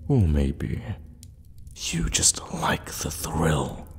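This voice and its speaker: Man playing a very deep, seductive evil warlock. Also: huge pitch swings, full character voice. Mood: seductive, probing. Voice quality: breathy low murmur, often whispering. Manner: slow, teasing, halting for effect. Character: seductive, menacing, sadistic male evil warlock. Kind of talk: intimate ASMR roleplay acting. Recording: long reverberant cave-like echo.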